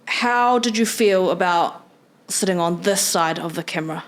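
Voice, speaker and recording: A young woman hosting a podcast interview, chatty and animated in her replies. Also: lowish voice, moderate pace. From New Zealand. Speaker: podcast host. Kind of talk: casual interview chat.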